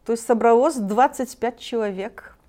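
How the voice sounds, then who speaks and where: Woman from Switzerland, native French speaker, halting speech with restarts, mellow warm tone, slightly high voice. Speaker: choir leader, guest. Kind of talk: interview speech.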